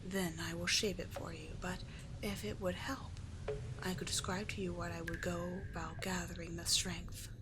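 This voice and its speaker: Woman voicing a soft, breathy, coaxing sea witch. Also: lowish voice, level tone, normal speed, background noise, natural voice. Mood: patient, coaxing. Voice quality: soft, breathy, often near-whispered. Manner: soothing, coaxing, formal storybook diction. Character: cursed sea witch mermaid, doting, teasing, regal mentor. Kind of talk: ASMR roleplay.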